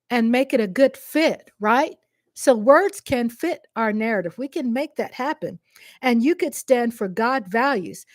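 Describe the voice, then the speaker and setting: Passionate, emphatic Texan woman, slow delivery. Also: fairly high pitch, dry recording, studio quality. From Texas. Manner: passionate, emphatic, repeats phrases for stress. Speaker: vlogger answering a commenter. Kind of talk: impassioned direct-to-camera monologue.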